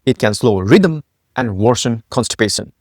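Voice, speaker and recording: A man's voice: medium pitch, expressive, moderate pace, a little mellow, no echo, clean studio sound. Nepali-born man narrating a gut-health explainer. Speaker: health channel founder and host. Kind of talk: scripted educational narration.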